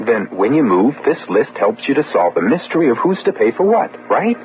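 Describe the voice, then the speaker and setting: A man's voice: mid-range voice, sing-song, brisk, lightly acted. An actor playing a worried, inquisitive everyman apartment renter in a radio ad. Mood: unsure, inquisitive. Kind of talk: radio public-service ad acting. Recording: dull old radio, faint noise.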